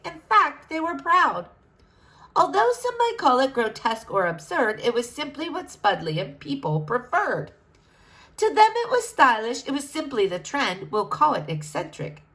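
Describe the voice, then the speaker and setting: A woman's voice: on the high side, fairly bright tone. A woman reading a rhyming children's story aloud, theatrically, with sing-song emphasis. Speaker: storybook reader.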